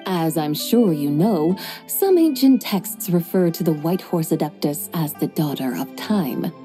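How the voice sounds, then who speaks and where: A woman voicing a composed, gently teasing young woman with a secret in a game cutscene. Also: deep voice, animated, a little slow. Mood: serene. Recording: soft game music underneath.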